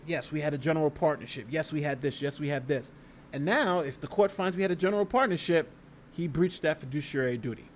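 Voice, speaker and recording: A man's voice: on the high side, a little hiss or hum. A man giving casual live legal commentary as a lawyer, reading a document aloud and reacting in an emphatic, conversational way, often repeating phrases. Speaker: lawyer hosting a livestream.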